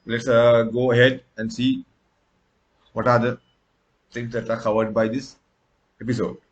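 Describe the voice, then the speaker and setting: A man's voice: mid-range voice, flat delivery, a little slow, muffled recording. A man from Sri Lanka, a native Sinhala speaker, giving a recorded tutorial lecture with frequent fillers and restarts. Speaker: instructor.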